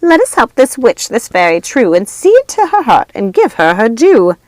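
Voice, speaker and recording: A wicked storybook witch, acted out by a woman reader, imperious and menacing. Her voice is pushed high and loud, shrill and commanding, with a frenzied dramatic edge. The sound is dry and close. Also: sing-song, normal speed, dry recording. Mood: chanting. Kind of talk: storybook character acting.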